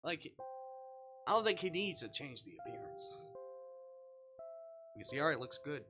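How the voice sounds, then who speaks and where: A man live-streaming a visual novel, reacting casually in an animated, giggly way as he comments and talks to chat. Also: a little high, normal speed, music in the background.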